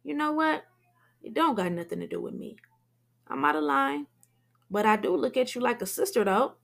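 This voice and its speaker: A woman's voice: sits high, lively intonation, normal speed, gravelly, a bit bright, voice pushed up, full character voice. A woman voicing a jealous, hot-tempered young man who curses bluntly in street slang. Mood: angry.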